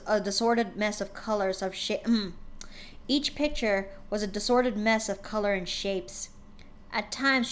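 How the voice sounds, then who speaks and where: A woman streamer reading a story aloud live, often stumbling and correcting herself. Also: medium pitch.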